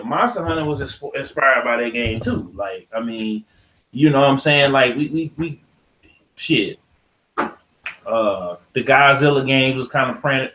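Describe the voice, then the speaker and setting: A man's voice: medium pitch, fairly bright tone, a little hiss or hum. Echoey American man, slangy podcast host. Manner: slangy, profane, ranting. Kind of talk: casual opinionated podcast talk. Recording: echoey untreated room.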